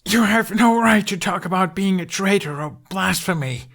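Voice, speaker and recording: Guy doing a desperate teen boy in an audiobook, high voice, yelling, defiant, really impassioned. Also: normal speed. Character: desperate, defiant teenage boy pleading for his dragon. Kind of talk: audiobook character acting.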